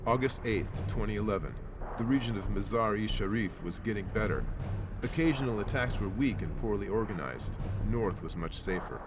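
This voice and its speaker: A man's voice: on the low side, expressive, moderate pace, natural voice. A man playing a battle-hardened Marine in a military shooter game, speaking as a soldier who tells of his missions. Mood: grave, resolute. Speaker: narrator and squad soldier. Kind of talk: scripted military narration. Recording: game music behind voice.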